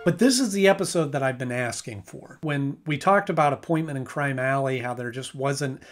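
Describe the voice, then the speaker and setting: A man's voice: mid-range voice, normal speed, faint music behind. A man giving a casual video review of a cartoon episode, rambling and correcting himself with frequent restarts.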